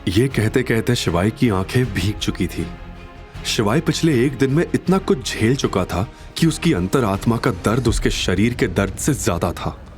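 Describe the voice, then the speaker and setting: A man's voice: fairly low pitch, animated, moderate pace. A man narrating an audio drama as dramatic, scripted storytelling. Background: background music.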